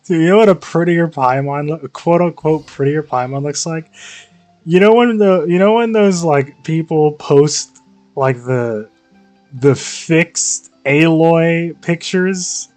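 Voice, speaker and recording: A man's voice: a little high, slow pace, a little mellow. A man giving casual, slangy, sweary live commentary as a game streamer. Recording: game music behind the voice. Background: video game music.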